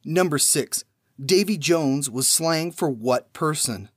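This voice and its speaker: A man's voice: fairly high pitch, expressive, dry recording. Man reading trivia questions, dry close sound. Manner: measured, reads each question then pauses before the answer. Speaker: trivia podcast host.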